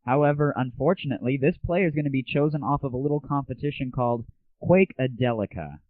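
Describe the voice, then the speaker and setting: A man's voice: mid-range voice, quick pace, no room sound. A man hosting an online gaming news show, talking casually in a slangy, opinionated and irreverent way. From United States. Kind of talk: casual solo news commentary. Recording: muffled phone-like lo-fi audio.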